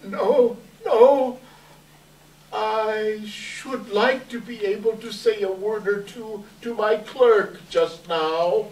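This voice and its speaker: A man playing an anguished, timid old miser in a halting, dramatic stage reading. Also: high voice, a little mellow, a little hiss or hum. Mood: hesitant, wistful. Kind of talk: readers theater stage reading. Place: indoor live event, distant microphone. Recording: echoey hall from far off.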